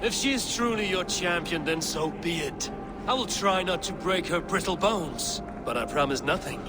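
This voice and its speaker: A confident Norse warrior god from a fantasy game. His delivery is formal and commanding, pitched a little high. Outdoor ambience is clearly heard behind. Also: expressive, moderate pace, very noisy. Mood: proud defiance. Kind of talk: video game voice acting.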